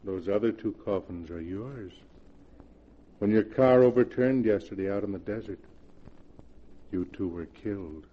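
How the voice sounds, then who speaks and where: An actor playing a murdered husband's ghost in 1940s radio style, measured, mock-polite and calmly reproachful. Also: male voice, on the low side, close to an everyday voice. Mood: calm, macabre. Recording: dull, noisy vintage radio recording.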